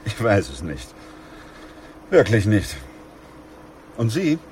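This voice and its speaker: A man playing a sober, weary, steadfast doctor in a German radio play, speaking in a grave, measured, reflective way. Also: lowish voice, huge pitch swings, a little mellow, slight background noise, full character voice. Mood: more pressing. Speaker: actor playing the narrator and lead.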